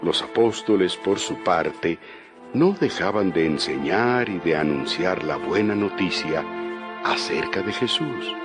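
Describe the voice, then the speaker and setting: A man's voice: sits low, expressive, a little slow. A man narrating a dramatized audio Bible as a scripted storyteller. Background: music.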